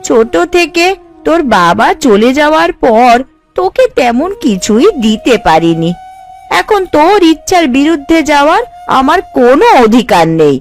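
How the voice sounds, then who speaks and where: A woman playing a gentle, protective middle-aged mother in a cartoon, consoling and advising. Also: sits high, sing-song, normal speed. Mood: resigned. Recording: light background music.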